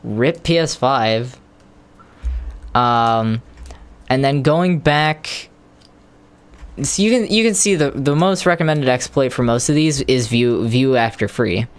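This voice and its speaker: A man's voice: mid-range voice, slow, pauses often, clean tone. A man casually rambling on a live stream, hesitating with lots of ums and restarts while explaining tech steps to viewers.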